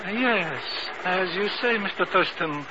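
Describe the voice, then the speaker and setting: On a dull, hissy old radio recording, a shady mercenary informant. His voice is high, and he talks in florid, precise, courtly phrases. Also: lively intonation, slow pace. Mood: smooth, mercenary. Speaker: actor in a radio drama. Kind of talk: 1950s radio acting.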